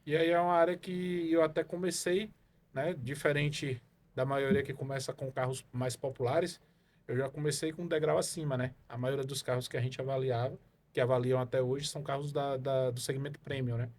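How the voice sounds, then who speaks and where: A man's voice: mid-range voice, flat delivery, brisk, a little mellow. A man from Salvador, a podcast guest telling his career story in a rambling way, full of fillers and tag questions. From Salvador, Bahia, Brazil. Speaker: guest, car evaluation consultant. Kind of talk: casual podcast interview.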